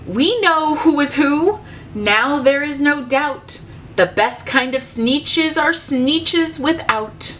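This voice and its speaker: A woman puts on boastful little storybook creatures who love to gloat. The voice is raised high and exclaims its taunts, with some noise in the recording. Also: expressive, slow pace, fairly bright tone, exaggerated voice. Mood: smug boasting. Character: plain-bellied Sneetches, boastful beach creatures newly starred.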